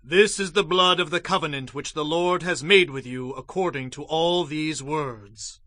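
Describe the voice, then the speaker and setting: Solemn male leader of his people, bright and forceful, closely recorded and dry, declamatory biblical audio drama. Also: a little high, moderate pace, no room sound. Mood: solemn, proclaiming. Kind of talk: dramatized scripture acting.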